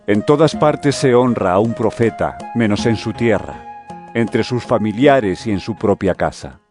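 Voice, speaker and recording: A man playing an authoritative teacher who gives solemn, measured commands in a scripture audio drama. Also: lowish voice, lively intonation. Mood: grave. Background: music.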